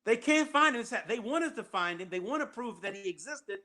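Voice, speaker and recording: Bright, high-voiced emphatic male host. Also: lively intonation, a little fast. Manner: emphatic, repeats key points. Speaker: call-in show host. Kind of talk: casual call-in chat.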